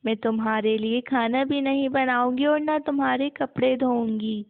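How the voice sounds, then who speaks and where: A woman's voice: high-pitched, fairly even intonation, normal speed. A woman voicing a spiteful, resentful aunt in a cartoon village moral tale, with a scolding manner. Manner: scolding, gloating. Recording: muffled, narrow phone-like sound.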